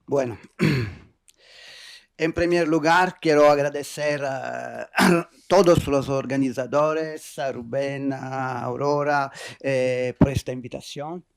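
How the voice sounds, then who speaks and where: Halting Italian man lecturing. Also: medium pitch, fairly even intonation, vocal fry, no room sound, clean studio sound. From Italy. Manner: hesitant, many fillers and restarts. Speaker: keynote speaker. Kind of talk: conference lecture. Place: university lecture hall.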